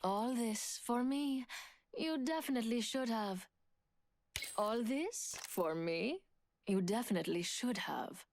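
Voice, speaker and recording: An actress playing a poised, confident, seductive thief in a video game, with cool, teasing delivery. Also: female voice, on the high side, lively intonation, slow pace, slight background noise. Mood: teasing confidence. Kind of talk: video game character voice lines.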